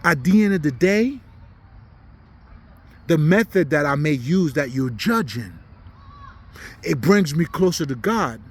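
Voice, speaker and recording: A man's voice: on the high side, expressive, unhurried, long pauses, husky. A man from Haiti, not a native English speaker, giving an unscripted, preaching monologue in blunt, profane slang with emphatic repetition. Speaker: spiritual commentator.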